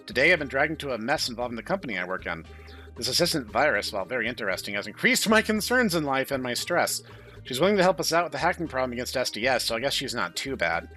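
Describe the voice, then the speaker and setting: A man hosting a gaming playthrough, reading visual novel dialogue aloud in an expressive way. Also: mid-range voice, fast talker, faint music behind, rough recording. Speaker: gaming channel host.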